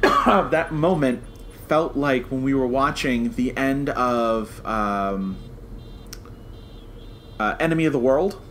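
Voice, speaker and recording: A man's voice: medium pitch, takes it slowly, pauses often, fairly bright tone. A man co-hosting a podcast, chatting casually and animatedly as a fan giving a review, acting out reactions as he goes. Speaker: podcast co-host. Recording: clear background noise.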